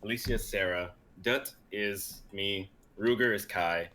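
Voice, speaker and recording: Young man, lowish pitch, bright forceful tone, reading stage directions, scripted narration, casual live reading. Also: a little slow, slight background noise. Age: young adult.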